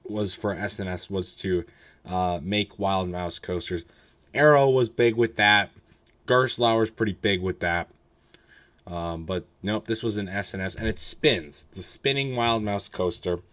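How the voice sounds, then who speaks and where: Hoarse low-voiced man rambling casually. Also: a little slow, a bit bright. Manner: rambling, filler-heavy, candid. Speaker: roller coaster reviewer. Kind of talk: casual solo review.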